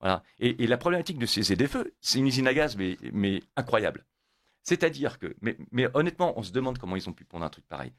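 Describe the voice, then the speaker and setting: A man's voice: medium pitch, big pitch swings, quick pace, dry recording, studio quality. A man born in Italy, a guest on a radio interview, talking emphatically and repeating words when pressed. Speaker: guest, motorcyclists' federation coordinator.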